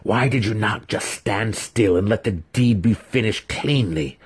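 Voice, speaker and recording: A man's voice: lowish voice, breathy edge, voice pushed up. Man acting a growling, cruel, disfigured wizard master. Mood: furious. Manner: growling, snarling, cackling, drawn-out taunting. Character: disfigured, cruel male dark-elf wizard master, enraged and gloating. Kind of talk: dramatic fantasy audiobook acting.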